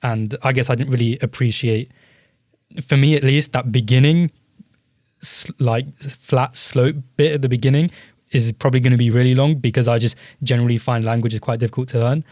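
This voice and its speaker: A man's voice: medium pitch, fairly even intonation, a little fast, darkish tone, no echo, studio quality. A young man in a casual podcast discussion, speaking in a hesitant, self-reflective way and often hedging. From Britain. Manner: hesitant, reflective, hedges with "I guess". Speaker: podcast co-host.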